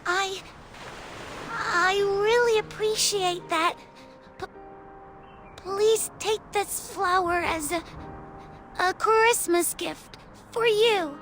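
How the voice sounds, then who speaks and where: An actress playing a sweet, innocent and excitable little girl in a video game, halting and wide-eyed. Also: very high voice, faint music behind, exaggerated voice. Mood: shy, grateful.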